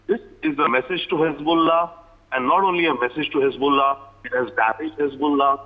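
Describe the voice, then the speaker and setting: A man's voice: a little high, steady tone, a little fast. A man with an Indian accent giving live news analysis as an expert, explaining point by point. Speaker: international affairs expert. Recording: thin, phone-like remote line.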